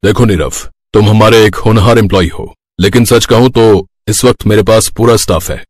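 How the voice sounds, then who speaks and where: A man's voice: lowish voice, normal speed, dry recording, close to an everyday voice. A man voicing a firm, frank company boss in a moral story. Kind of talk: Hindi moral story voice acting.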